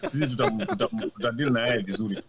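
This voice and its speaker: A Kenyan man, a guest panelist, debating politics quickly in English and Swahili, laughing and restarting words. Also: mid-range voice, crowd noise. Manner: fast, laughing, stumbles and restarts.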